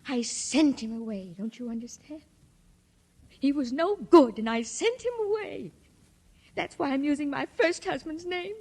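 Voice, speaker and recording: An actress playing an excitable, bitter and insistent woman in 1940s radio drama style. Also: high voice, measured pace. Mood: indignant, vengeful. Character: excitable, bitter woman fixated on her runaway second husband. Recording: old radio drama recording.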